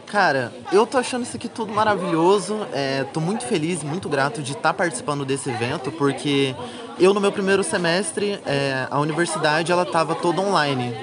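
A man's voice: fairly high pitch, lively intonation, brisk. A young Brazilian man, a university student, answering interview questions in casual, slangy speech. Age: young adult. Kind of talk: casual chat. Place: university congress with crowd. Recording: busy event crowd behind the voice.

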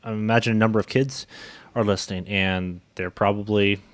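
A man hosting an interview in a casual, informal and halting way, feeling out his questions as he goes. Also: on the low side, fairly even intonation, no echo. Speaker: podcast host, interviewer.

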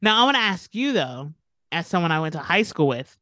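A woman in her thirties co-hosting a casual podcast, relaxed and slangy, laughing as she talks. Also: fairly low pitch, brisk, no echo, clean studio sound. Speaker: podcast co-host. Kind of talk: casual chat.

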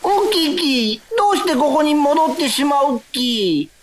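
Very high, playful cartoon monkey. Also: female voice, sing-song, measured pace, slight background noise. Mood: playful, puzzled. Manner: monkey squeals as verbal tics. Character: playful, squeaky, childlike talking monkey. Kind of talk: cartoonish radio drama acting. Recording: faint music behind the voice.

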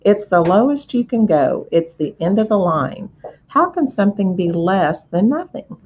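A sad, earnest young pig begs for a friend, as a woman reader puts him on, his voice lifting and dipping in a lively way. The storybook recording sounds a little dull and lo-fi. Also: mid-range voice, moderate pace, husky. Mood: pleading. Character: lonely young male pig, earnest and pleading.

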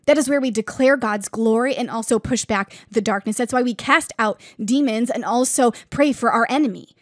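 A woman's voice: on the high side, moderate pace, no echo, studio quality. A woman preacher giving an earnest, fervent sermon in a conversational way, with asides to her listeners.

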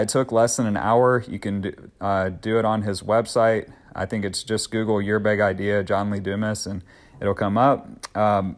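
A man in his thirties hosting a solo podcast, talking in an earnest, upbeat, conversational way with occasional fillers. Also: on the low side. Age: 30s. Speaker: podcast host.

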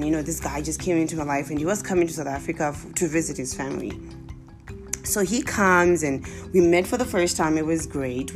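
A young Zimbabwean woman with a low-set voice that swings widely as she tells a funny story straight to her viewers. She exclaims and talks casually, with soft music playing faintly behind her. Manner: animated, exclaiming, laughing often.

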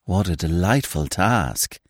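A man voicing a sentimental, gushing woman who coos slowly and softly. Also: mid-range voice, expressive, no room sound, clean studio sound, voice pushed up. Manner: cooing, slow and breathy-soft. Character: gushing, sentimental female poetess.

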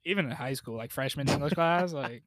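A young man co-hosting a casual podcast, joking around with a deadpan edge and some swearing. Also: mid-range voice, brisk, no room sound. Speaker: podcast co-host. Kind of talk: casual chat.

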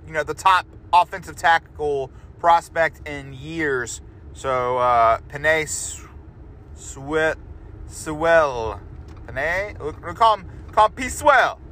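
A man's voice: on the high side, unhurried, pushed and bright, a little hiss or hum. A man hosting a podcast, chatting casually and loosely, often restarting and correcting himself as he goes. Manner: rambling, loose, self-correcting. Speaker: podcast host. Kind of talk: casual sports prediction chat.

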